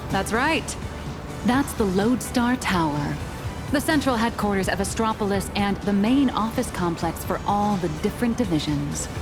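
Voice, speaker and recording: An actress playing a poised, worldly woman who speaks in a calm, measured way in a video game. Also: mid-range voice, lightly acted. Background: music.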